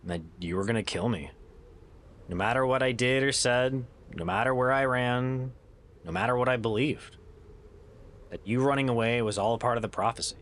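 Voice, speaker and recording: A man's voice: medium pitch, normal speed, a bit bright, natural voice. A man playing a weary, loyal adventurer in an audio drama, talking in a casual, sardonic way. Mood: weary, wry. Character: wry, weary male adventurer, loyal old friend. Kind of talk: naturalistic audio drama acting.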